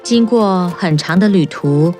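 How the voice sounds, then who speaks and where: A woman narrating a children's fairy-tale cartoon in a clear storybook manner. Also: on the low side, unhurried, darkish tone. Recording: narration over prominent music.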